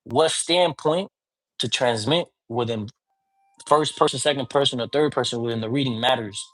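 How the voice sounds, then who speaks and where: A man speaking as a priest, teaching divination in a relaxed conversational way and often checking that the listener follows. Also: mid-range voice, moderate pace, poor audio. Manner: informal, often checks in with "you get me?"; mixes in Spanish words. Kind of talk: casual teaching talk.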